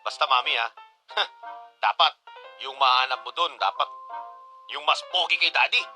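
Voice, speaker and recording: A man's voice: medium pitch, expressive, moderate pace, sharp tone, faint music behind. A man playing a teasing, affectionate adult son in a Tagalog radio drama, playful and exclaiming, with a Filipino accent. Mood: jokey, caring.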